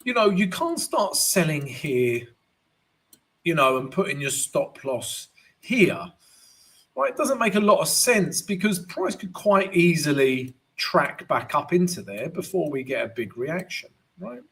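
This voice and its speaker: Croaky man hosting a trading stream. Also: a little high, very animated, a little slow, a bit bright. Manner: chatty, addresses viewers as guys, frequent "right?" tags. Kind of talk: live-stream market analysis.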